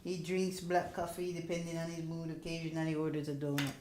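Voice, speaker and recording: A woman's voice: low voice, flat delivery, fairly quick, a little mellow, slight background noise. A woman narrating a visual novel playthrough, reading the text aloud with casual asides.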